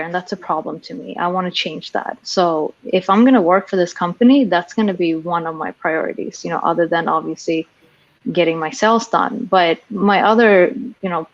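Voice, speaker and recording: A woman's voice: lowish voice, dry recording, muffled recording. A woman from Sweden, a sales professional speaking frankly on a panel, often restarting her sentences. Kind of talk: casual panel discussion.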